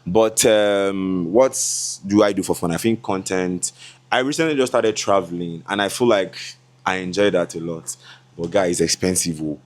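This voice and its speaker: A man's voice: low-pitched, moderate pace. Chatty young Nigerian man vlogging. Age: 20s. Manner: chatty, laughing, switches into Yoruba asides. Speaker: content creator. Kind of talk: casual vlog talk to camera.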